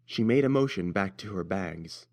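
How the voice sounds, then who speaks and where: A man's voice: low voice, expressive, moderate pace, a little mellow. A man narrating a scripted story as its reader.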